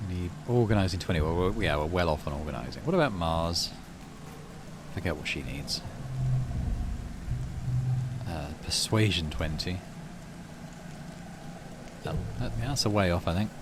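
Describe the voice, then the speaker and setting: A man doing casual, low-key game commentary and reading story text aloud as he plays. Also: animated, moderate pace, pauses often, background noise. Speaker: gaming channel host. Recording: music behind the voice. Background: game music.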